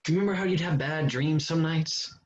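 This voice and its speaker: An actor in a staged drama reading, playing an intense, menacing older brother back from war who shifts between pleading and accusing. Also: male voice, fairly high pitch, a little fast, natural voice. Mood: pleading, tender. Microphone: video call. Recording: muffled, noisy video call audio with clicks.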